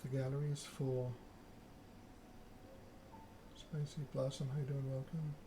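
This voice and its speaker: A man streaming a game in soft ASMR style, reading story text aloud and chatting casually with viewers. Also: medium pitch, flat delivery, unhurried, background noise. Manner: reads narrative text aloud, chats with viewers.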